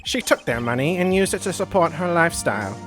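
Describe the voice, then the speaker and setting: Man doing a sharp, smug courtroom prosecutor. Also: on the high side, expressive, fast, soft background music. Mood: matter-of-fact, smug. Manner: formal, condescending, accusatory. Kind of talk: video game role-play.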